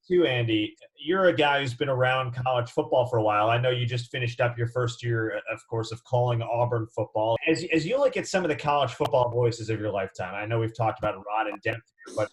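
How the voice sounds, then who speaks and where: A man's voice: mid-range voice, poor audio. A young man hosting a conversational sports interview and putting questions to his guest. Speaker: interviewer, host.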